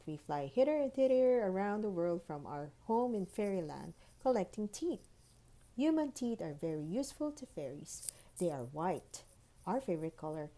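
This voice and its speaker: A woman voicing a busy, bossy, impatient tooth fairy in a children's storybook, formal and brisk. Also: lowish voice, warm tone, natural voice. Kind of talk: children's storybook read-aloud.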